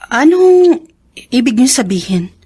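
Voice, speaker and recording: A woman playing a polite, gentle Filipino housewife and daughter in a radio drama, respectful and expressive. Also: high voice, unhurried, slightly rough, heavily acted. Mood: shocked.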